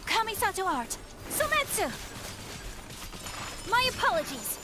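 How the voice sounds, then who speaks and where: A young anime game heroine with an extremely high voice that leaps wildly in pitch. She calls out attacks in battle, with game music clearly behind her. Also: sing-song, unhurried, full character voice. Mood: battle cry.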